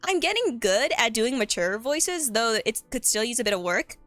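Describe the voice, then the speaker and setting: A woman streamer speaks in a fairly high, slightly cracky voice. She works through her writing aloud in a casual, halting way, repeating words as she goes. The sound is dry and close, with faint music behind her. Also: very animated, fairly bright tone, no room sound. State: voice a bit cracky. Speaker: streamer and writer. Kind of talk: casual livestream chat while writing a script aloud. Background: background music.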